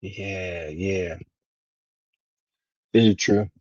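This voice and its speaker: A husky man with a fairly low voice hosts a casual livestream. He rambles without a script, halting and letting thoughts trail away. The sound is close, dry and slightly dull. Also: fairly even intonation, darkish tone, no room sound. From Venezuela. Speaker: live stream host. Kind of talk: casual unscripted livestream monologue.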